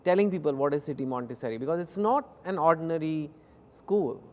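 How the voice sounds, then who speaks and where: Higher-pitched Indian man hosting, echoey hall. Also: expressive, brisk, a little mellow. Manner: informal, playful, repeats for emphasis. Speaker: talk show host. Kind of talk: conversational interviewing.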